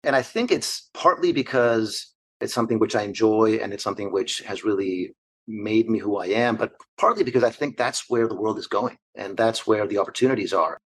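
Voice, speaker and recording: A man in his forties from Argentina, answering interview questions as an investor in long, measured, structured lists. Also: fairly low pitch, fairly bright tone, breathy edge. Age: 40s. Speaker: investor guest.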